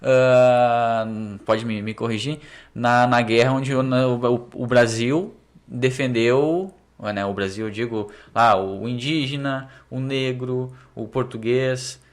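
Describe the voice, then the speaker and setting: A man talks casually about politics as a podcast host, haltingly restarting and hedging his points. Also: medium pitch.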